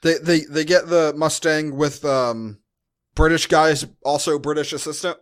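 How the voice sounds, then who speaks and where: A man's voice: fairly high pitch, fairly even intonation, a little slow. Sarcastic man chatting on a podcast. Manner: sarcastic, dry asides. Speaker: podcast host. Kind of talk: casual chat, movie recap.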